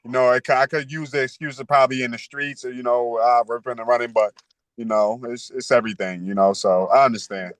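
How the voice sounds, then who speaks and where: A man's voice: medium pitch, fairly even intonation, a little fast, dry recording. A man in his thirties speaking candidly as an interview guest, full of street slang and "you know.". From New York. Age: 30s. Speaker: podcast guest.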